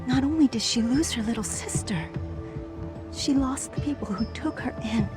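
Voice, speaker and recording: An actress playing a gentle woman who recalls an old friend's past in a conversational, wistful way for a video game cutscene. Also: high-pitched, moderate pace, close to an everyday voice. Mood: somber, sympathetic. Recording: studio voice with music behind.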